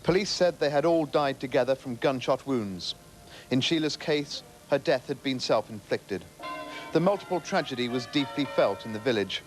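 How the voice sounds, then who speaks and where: A British man delivers a scripted news report as a voice-over. He is solemn and formal, with a mid-range pitch and faint music in the background. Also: poor audio.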